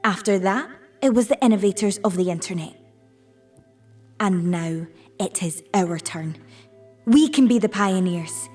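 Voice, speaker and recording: Persuasive idealistic businesswoman, played by an actress, slow rhetorical speech with emphatic pauses, slightly distant over music. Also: medium pitch, a little mellow, close to an everyday voice. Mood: visionary. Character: persuasive, idealistic businesswoman addressing her industry. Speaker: actor. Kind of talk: conference keynote speech in an audio play.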